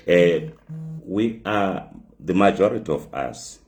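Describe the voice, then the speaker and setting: A man with a hoarse voice from the flu, hosting a live talk show from his phone, speaking haltingly with many fillers and repeated words. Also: deep voice, expressive, a little mellow, slight background noise. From migrant living in South Africa.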